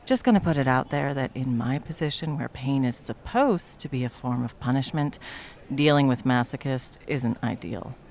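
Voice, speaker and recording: A performer playing a jaded, sarcastic cafe baker with a villainous side, speaking in a dry, menacing deadpan for a comedy audio roleplay. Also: mid-range voice, normal speed, a little hiss or hum, lightly acted. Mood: dry menace. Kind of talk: ASMR comedy roleplay acting.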